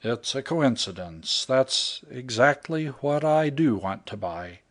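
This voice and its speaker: A man playing a gruff, unwelcoming old caretaker in a storybook reading. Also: mid-range voice, animated, takes it slowly. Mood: grudging. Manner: curt.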